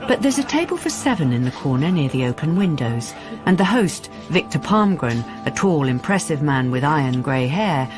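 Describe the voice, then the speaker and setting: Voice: medium pitch, very animated, normal speed, music in the background. A narrator delivering scripted radio drama narration.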